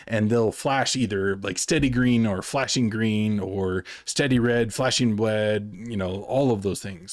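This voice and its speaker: A man's voice: medium pitch, no echo. American man, dry close-miked instructional delivery. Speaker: flight instructor and presenter. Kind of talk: instructional explainer to camera. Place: small dim home office studio. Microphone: desk microphone close in front.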